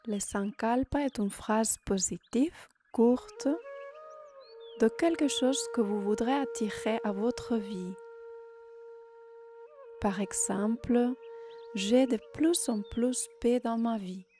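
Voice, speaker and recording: A woman guiding a meditation as a yoga nidra teacher, in short, unhurried phrases with long pauses, giving soothing instructions. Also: fairly high pitch, dark tone.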